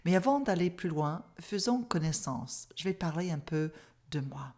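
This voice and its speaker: A middle-aged Canadian woman whose first language is English speaks with a noticeable English-speaking accent. Her voice sits low, and she explains in a calm, measured, soft-spoken manner, like a mindfulness teacher guiding a class. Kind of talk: online masterclass presentation.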